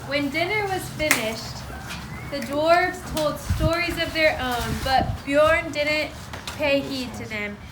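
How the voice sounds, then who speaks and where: A woman's voice: sits high, slow. A woman narrating a scripted story for an amateur readers' theater. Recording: noisy echoey room.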